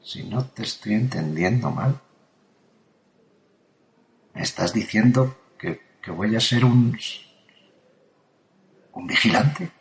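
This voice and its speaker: A man's voice: fairly low pitch, takes it slowly, long pauses. A man from playing a frightened patient in a psychiatric ward who sounds anxious and shaken. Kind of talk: audio drama acting. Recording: roomy echo.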